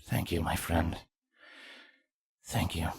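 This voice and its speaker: A male narrator plays a proud, stern changeling soldier in a fantasy story, loyal and weighed down by grief. The voice is lowish and very dry. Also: little pitch movement, takes it slowly, gravelly, slightly rough, no echo, heavily acted. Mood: grieving.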